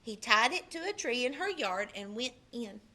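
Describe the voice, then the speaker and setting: A woman, a librarian, reading a children's picture book aloud with expressive delivery. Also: fairly high pitch, moderate pace. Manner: expressive, puts on character voices in quotes. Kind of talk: storybook read-aloud.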